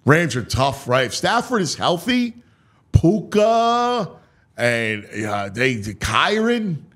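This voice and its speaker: Slow, theatrical male sports host. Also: a little high, very animated, a bit bright. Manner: dramatic, drawn-out delivery mixed with offhand chatter. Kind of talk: sports talk, theatrical schedule breakdown with casual asides.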